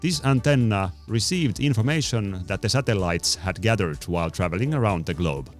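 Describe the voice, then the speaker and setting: A man's voice: lowish voice, animated, normal speed, soft background music. Wry male voice-over with a Finnish accent. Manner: dry, wry storytelling. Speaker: vlogger. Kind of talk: voice-over narration.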